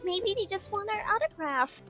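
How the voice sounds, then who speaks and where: A woman's voice: extremely high pitch, animated, moderate pace, fairly bright tone, soft background music. A woman playing a chirpy, jittery young magical girl in a fan dub. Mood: nervous, perky. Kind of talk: fan dub character acting.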